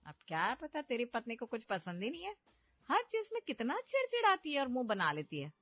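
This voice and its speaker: A festive middle-aged mother-in-law, played by a woman, fussy and chiding with a lively, swooping voice. It is a dry, close recording with faint music underneath. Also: fairly high pitch, fairly quick, dry recording, dull audio, voice pushed up.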